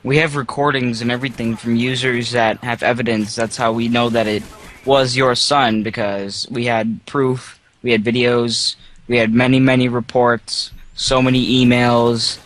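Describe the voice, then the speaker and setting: A man's voice: mid-range voice, flat delivery, moderate pace, a bit bright, close to an everyday voice. A man making a prank phone call as a serious website manager, explaining and warning in an insistent, repetitive way. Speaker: prank caller.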